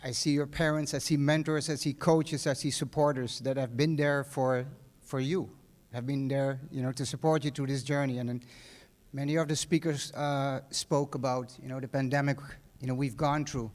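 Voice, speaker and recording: A man's voice: mid-range voice, level tone, normal speed, darkish tone. A middle-aged man with a Dutch accent giving a casual, rambling graduation speech in a large hall, full of fillers and restarts. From Netherlands. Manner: conversational, many fillers and restarts. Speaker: guest speaker.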